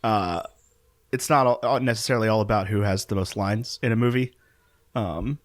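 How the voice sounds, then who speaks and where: A man's voice: fairly low pitch, moderate pace. A man co-hosting a podcast in casual, jokey banter. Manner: jokey, animated, playful banter. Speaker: podcast co-host. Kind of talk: casual chat.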